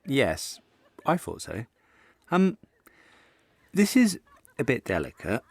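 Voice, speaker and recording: An actor playing a genteel, awkward eighteenth-century gentleman official, hesitant and delicate, in a period audio drama. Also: mid-range voice, wildly swooping pitch, takes it slowly, vocal fry, husky, dry recording, exaggerated voice.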